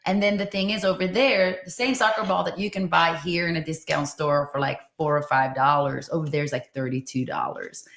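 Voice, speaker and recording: A woman's voice: low voice, steady tone, fairly quick, creaky, hardly any pauses, fairly bright tone, roomy sound, slight background noise. A woman from Finland chatting casually in an interview, with many fillers and easy laughter. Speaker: guest running a school in Tanzania.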